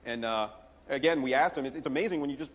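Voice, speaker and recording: A man's voice: mid-range voice, quick pace. A man on a conference panel telling anecdotes with lots of fillers, heard in a roomy hall on a dull recording. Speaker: game writer on a conference panel. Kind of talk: panel talk.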